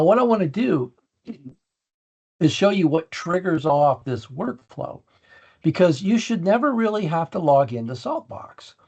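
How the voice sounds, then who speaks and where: Slightly high-pitched, gravelly man demonstrating software. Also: lo-fi sound. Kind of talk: casual walkthrough of a software demo.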